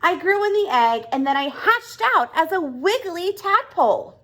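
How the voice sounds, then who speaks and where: A woman's voice: very high voice, sing-song, normal speed, a bit bright, voice pushed up, exaggerated voice. A woman voicing a friendly grown-up frog in a children's storybook, explaining things patiently and with emphasis. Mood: enthusiastic, explaining. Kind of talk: children's storybook read-aloud dialogue.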